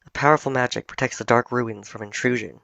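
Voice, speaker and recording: A man's voice: mid-range voice, steady tone, quick pace, creaky, a bit bright, no echo. Man reading a solemn ancient cat spirit. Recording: dull, dry home recording.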